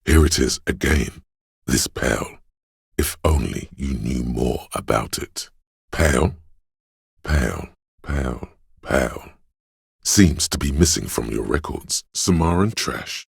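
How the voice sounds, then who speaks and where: A man voicing an erudite, composed know-it-all inner voice in a video game, delivering measured, precise, matter-of-fact exposition. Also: expressive, dry recording, clean studio sound, full character voice. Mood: pondering. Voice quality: very deep, gravelly, husky. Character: encyclopedic inner voice, a learned, composed male know-it-all narrator. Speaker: game voice actor. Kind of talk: scripted game narration, expository.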